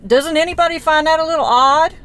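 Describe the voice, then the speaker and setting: A woman's voice: sits high, brisk, fairly bright tone. Woman, 40s, blunt, folksy, persistent questioner. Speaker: relative investigating a disappearance. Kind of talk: casual phone conversation.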